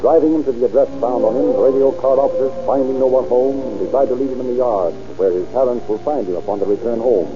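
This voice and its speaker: A man narrating a 1940s radio drama in a measured, dramatic storytelling manner. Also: mid-range voice. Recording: dull old radio recording with music.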